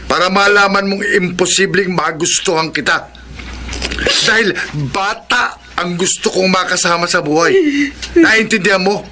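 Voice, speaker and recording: A man's voice: high-pitched, fairly even intonation, moderate pace, lightly acted. A man acting in a Filipino radio drama as an older driver, servile to his boss and scornfully blunt to others. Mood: scornful, mocking.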